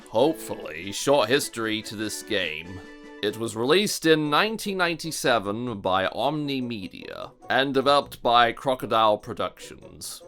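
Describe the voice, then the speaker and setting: Man, slow deliberate delivery, bright forceful voice, theatrical mocking review narration. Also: mid-range voice. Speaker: host of a video review series.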